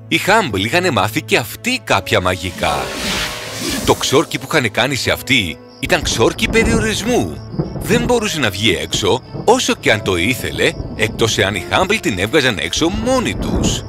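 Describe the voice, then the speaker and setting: A man narrating a scripted fairy tale in a dramatic, expressive manner. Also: mid-range voice. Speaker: storyteller. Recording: music under the voice.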